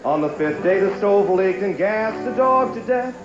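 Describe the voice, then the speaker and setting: Male standup comic, high-pitched and emphatic, drawn-out punch lines, roomy lo-fi recording. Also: animated. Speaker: standup comedian. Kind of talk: standup comedy routine. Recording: dull lo-fi echoey archive TV recording, music.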